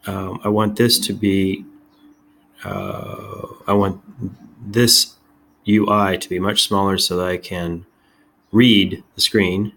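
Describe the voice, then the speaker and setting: Slow talking guy w a low voice doing a casual software demo, kinda halting, thinking out loud. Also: lively intonation, a little hiss or hum. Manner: halting, thinking aloud while demonstrating. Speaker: tech video host.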